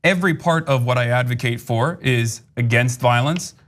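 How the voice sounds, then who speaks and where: A man's voice: medium pitch, normal speed, fairly bright tone. A man giving casual streamer commentary, ranting with plenty of profanity.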